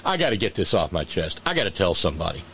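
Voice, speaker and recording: A man's voice: low voice, big pitch swings, fast talker, a bit bright, a little hiss or hum. A man hosting a casual political chat, sarcastic and mocking, often restarting his sentences. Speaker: podcast host.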